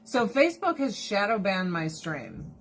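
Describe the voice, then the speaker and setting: A woman live streaming to her viewers in casual chat, blunt and profane, animated and laughing often, close to her phone camera. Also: mid-range voice, measured pace. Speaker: direct sales rep live streaming. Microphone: phone or camera held close.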